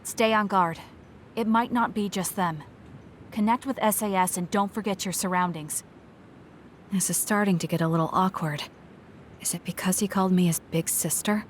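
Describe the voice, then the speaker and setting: A woman's voice: medium pitch, steady tone, moderate pace, slight background noise, close to an everyday voice. An actress playing a stoic, blunt young woman fighter in a video game, speaking in a matter-of-fact, terse way. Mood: cool, reserved. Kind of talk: English video game voice acting.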